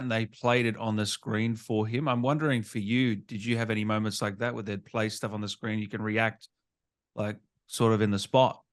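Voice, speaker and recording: A man with a fairly low voice hosts a gaming interview. He puts his questions casually and conversationally, as an interviewer chatting with a guest. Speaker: interviewer, gaming channel host.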